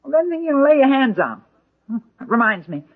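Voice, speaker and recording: A muffled old radio recording carries a crotchety old grandmother with a high voice. She is feisty and meddling, bluntly bossing people around in 1940s comedy style. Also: very animated, a little fast, full character voice. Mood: scheming, bossy.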